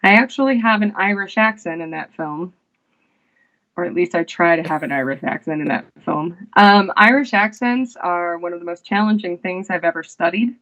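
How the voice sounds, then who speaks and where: A woman, an actress, chatting casually as an interview guest, unhurried and full of fillers and restarts. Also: lowish voice, a bit bright, lo-fi sound.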